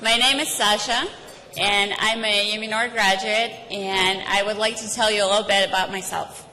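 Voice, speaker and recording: A woman's voice: medium pitch, steady tone, normal speed, pushed and bright. Ukrainian-accented woman speaking in a reverberant hall. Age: about 30. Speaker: guest speaker, school alumna. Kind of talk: personal speech at a dinner event. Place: large indoor event hall. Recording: noisy, reverberant hall recording.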